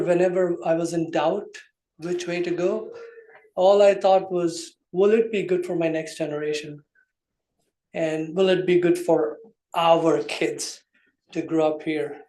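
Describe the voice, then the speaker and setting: A man's voice: on the high side, darkish tone, reverberant, rough recording. A man giving a heartfelt farewell speech as a departing member of a township committee, earnest and thankful. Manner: earnest, thankful, plain-spoken. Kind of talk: farewell speech at a public meeting. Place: township meeting room.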